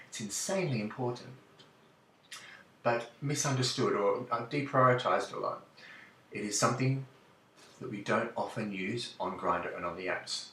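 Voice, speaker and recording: A man with a lowish voice talks earnestly and encouragingly, pausing on ums and restarting his phrases. He sounds a little distant from the mic, in a roomy, echoing space with faint background noise. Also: fairly bright tone. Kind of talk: direct-to-camera talk.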